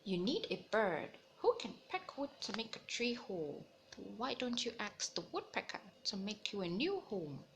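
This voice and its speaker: A woman's voice: medium pitch, big pitch swings, normal speed, few pauses, background noise. A woman voicing a practical crow giving helpful advice in a children's storybook. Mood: advising.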